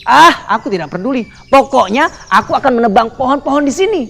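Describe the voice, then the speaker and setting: Lady putting on a greedy woodcutter guy, loud and bragging, pitched up. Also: expressive, a little fast, vocal fry, exaggerated voice. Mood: brash. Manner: boastful, brash, cackling.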